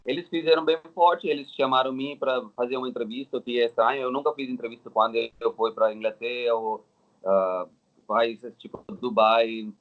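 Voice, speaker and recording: A man's voice: medium pitch, fairly even intonation. Dull-sounding recording, Indian-accented man. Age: 30s. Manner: halting, non-native Portuguese with simple phrasing. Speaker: interview guest. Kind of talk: casual personal storytelling. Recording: dull, lo-fi audio.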